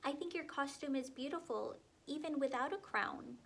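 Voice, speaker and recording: A woman voicing a kind, gentle young girl who comforts a friend. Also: sits high, normal speed, creaky, a little hiss or hum.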